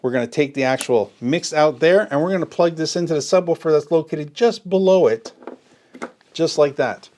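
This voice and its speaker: A man's voice: fairly high pitch, moderate pace, a little mellow. A middle-aged man giving a hands-on tutorial on connecting speakers, explaining step by step with everyday analogies. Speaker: audio gear demonstrator.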